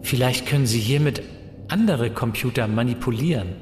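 A man playing a level-headed professor in a German science-fiction audio drama, speaking in a measured, analytical way. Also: medium pitch, natural voice. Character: level-headed male professor exploring an alien mystery. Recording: echoey hall reverb with noise.

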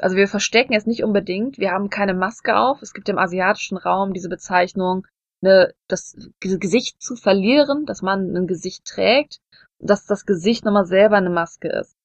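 Casting: woman, conversational podcast co-host, self-deprecating storyteller, medium pitch, dry sound. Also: normal speed, no room sound, highs cut off. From Germany. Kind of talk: casual conversational podcast talk with personal anecdotes.

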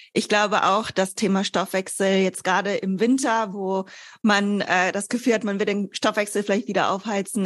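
A woman in her twenties, a fitness coach, explaining things conversationally as an interview guest. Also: medium pitch. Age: 20s.

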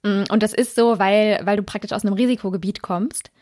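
A woman's voice: mid-range voice, steady tone, fairly quick, darkish tone, no room sound. Female podcast host, dry close sound. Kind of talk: podcast intro and interview.

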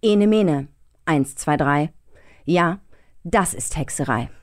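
A woman's voice: sits low, animated, pitched below the performer's own voice. Woman as a stern, solemn national leader. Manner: solemn, dry, clipped short phrases with pauses. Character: stern, authoritative female head of state addressing nation.

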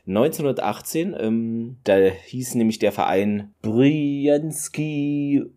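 A man's voice: mid-range voice, slow, mellow. A man hosting a solo football podcast, talking in a loose, rambling way with many fillers and enthusiastic asides. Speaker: podcast host.

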